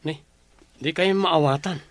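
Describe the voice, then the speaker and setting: A man's voice: on the high side, measured pace, a bit bright, no echo. A man playing a frustrated, defensive young village son in a radio drama, with an Ilocano Filipino accent.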